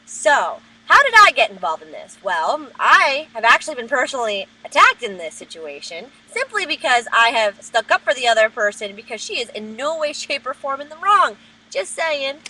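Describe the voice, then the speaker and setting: Loud, high-pitched woman ranting. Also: sing-song, moderate pace, rough recording. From New Jersey. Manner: loud, profane, heated ranting. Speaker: vlogger. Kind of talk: webcam rant. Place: at work. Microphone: webcam.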